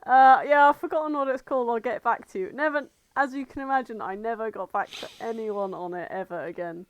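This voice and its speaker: High-voiced British woman, casual podcast chat. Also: brisk, poor audio. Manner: animated storyteller, acts out imagined dialogue. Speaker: podcast co-host.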